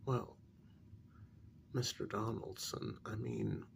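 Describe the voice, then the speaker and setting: A man acting as a patient, exasperated male couples therapist in an ASMR role-play. His soft voice is heavy with gravelly fry and moves slowly and haltingly. He gently reproves with a lively rise and fall in pitch. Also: a little hiss or hum, heavily acted. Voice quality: soft, breathy at times, gravelly creak.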